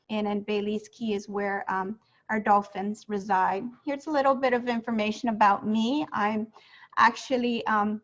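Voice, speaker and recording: A woman in her forties, from Honduras, presenting her resort on a video call in an unhurried way with frequent ums. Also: fairly high pitch, dull audio. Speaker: resort booking director presenting. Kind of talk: webinar presentation.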